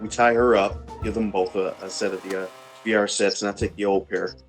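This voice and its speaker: A man's voice: on the low side, steady tone, fairly quick, faint music behind. A man taking part in a tabletop roleplaying game, casually improvising what his character says and does.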